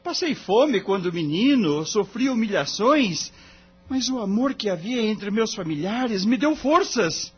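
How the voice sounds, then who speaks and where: Guy playing honest young brazilian lawyer, high voice, polite and earnest, audio drama, dull sound w music behind. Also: lively intonation, unhurried, husky, heavily acted. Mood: earnest, firm. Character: earnest, upright young male lawyer, principled and humble.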